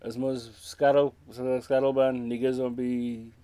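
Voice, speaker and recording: A man's voice: medium pitch, flat delivery, a little slow, background noise. A man in his thirties from South Africa with a Cape Town accent, giving frank, casual commentary in a small room and slipping Afrikaans phrases into his English. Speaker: music reaction host. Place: small indoor room with a brick wall.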